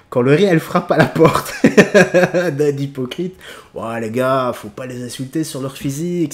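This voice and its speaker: A young man talks through news clips at his desk, laughing and jeering at what he sees. His voice sits in an ordinary middle pitch. The mic is close, with the feel of a home stream. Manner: mocking, laughs often, exclaims. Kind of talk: casual reaction commentary.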